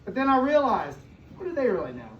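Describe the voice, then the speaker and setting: An American woman reads a picture book aloud to young children, pausing to explain and ask questions, with emphatic stretched words. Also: a little high, big pitch swings. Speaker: school superintendent reading aloud to children. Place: indoor room with children present. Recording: distant, echoey, muffled room recording with noise.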